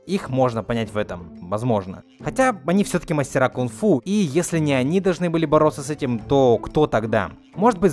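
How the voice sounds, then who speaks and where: A young man delivers an opinionated video essay with lively, swooping intonation. He argues his points emphatically, at a middle pitch, with light music underneath. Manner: argumentative, emphatic. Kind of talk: opinionated film critique narration. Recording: music behind the voice. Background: faint background music.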